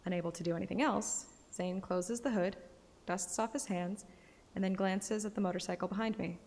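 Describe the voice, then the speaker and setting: A woman's voice: mid-range voice, normal speed, natural voice. An actress playing a playful, plucky young woman hunter, narrating and voicing a first-person game story expressively. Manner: expressive, teasing. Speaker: player-character narrator. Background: background music.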